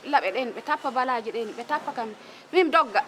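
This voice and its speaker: Female witness, very high voice, unscripted account, faint ambient noise. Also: lively intonation, quick pace, fairly bright tone. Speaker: witness interviewee. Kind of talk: spontaneous testimony.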